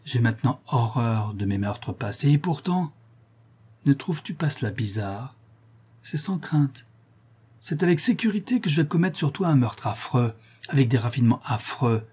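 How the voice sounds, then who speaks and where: A husky, darkly tinted voice of a blind old killer, as a male reader puts him on. He broods in an intense, hushed monologue, threat and torment in every phrase. A classic storybook villain, heard in audiobook form. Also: medium pitch, animated, moderate pace. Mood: brooding menace. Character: blind brutal old male murderer, haunted and vengeful. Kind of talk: audiobook reading of a classic novel.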